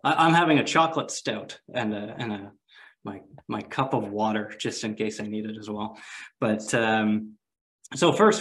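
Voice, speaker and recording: A man's voice: mid-range voice, fairly bright tone. A man hosting a podcast, asking questions in a friendly, casual way, often repeating words as he starts. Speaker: podcast host.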